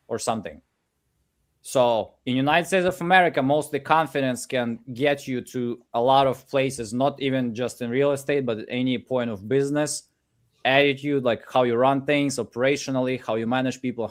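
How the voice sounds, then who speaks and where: A man with a Ukrainian accent gives an energetic live coaching pep talk over a video call, peppering his English with fillers. His voice sits at an ordinary middle pitch. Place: home office in Ukraine.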